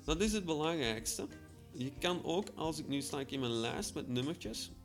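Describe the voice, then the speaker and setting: A man giving an informal spoken software tutorial, hesitant as he thinks aloud while demonstrating. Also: a little high, animated, normal speed, music in the background. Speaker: podcast host demonstrating software. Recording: echoey room.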